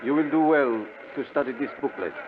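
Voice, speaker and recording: Man acting a stern male border official, stiff, formally courteous, vintage radio drama, phone-like sound, clear noise. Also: medium pitch, fairly quick, lightly acted. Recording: thin, phone-like, noisy old radio recording.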